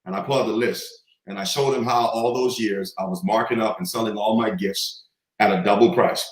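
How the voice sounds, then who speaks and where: A man's voice: lowish voice, fairly even intonation, fairly quick. Confident man from the Bahamas, echoey. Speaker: guest. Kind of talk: storytelling in a conversation.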